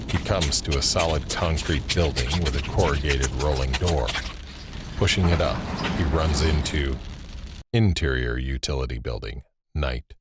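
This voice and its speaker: A man reading scripted, screenplay-like narration for an audio drama. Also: extremely low pitch. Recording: faint ambient noise under narration.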